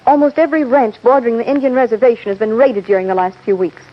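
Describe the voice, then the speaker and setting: A woman's voice: on the high side, fast, close to an everyday voice. A woman playing a determined young frontier schoolteacher in a radio western, speaking in a firm, direct, poised way. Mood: earnest, grave. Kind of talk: old-time radio western acting. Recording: muffled, hissy old radio broadcast.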